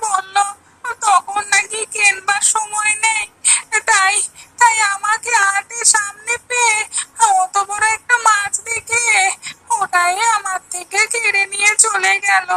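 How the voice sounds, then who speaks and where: A woman's voice: extremely high pitch, steady tone, slow pace, bright, forceful tone, faint music behind, exaggerated voice. A woman playing a poor young village boy in a cartoon story, hurt and plaintive, with a tearful whine. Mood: tearful, aggrieved. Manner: whining, tearful. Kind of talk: Bengali animated moral story acting.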